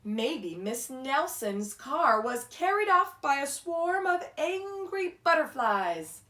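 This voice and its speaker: Storybook schoolkids, voiced by a woman reader: young, excitable, raised pitch, stretched-out words. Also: slow pace, roomy sound, recorded from a distance, full character voice. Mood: speculating. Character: excitable young schoolchildren guessing about their missing teacher.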